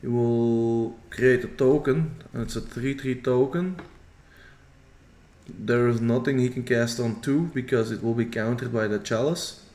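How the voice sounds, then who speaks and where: A man's voice: medium pitch, measured pace. Flemish man commentating in an echoey room. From Belgium. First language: Dutch. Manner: relaxed, chatty, reads viewer comments. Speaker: stream commentator. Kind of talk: casual play-by-play commentary. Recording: roomy echo.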